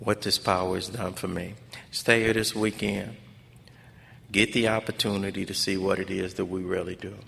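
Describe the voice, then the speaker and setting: Convention speaker: American man, low gravelly voice, steady narrow range, preacher-like repetition, recorded in a reverberant hall. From Los Angeles, United States. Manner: emphatic, repeats phrases for effect, colloquial grammar. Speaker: speaker at a recovery fellowship convention. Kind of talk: personal testimony speech, preacher-like. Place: large conference hall. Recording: echoey hall PA with background noise.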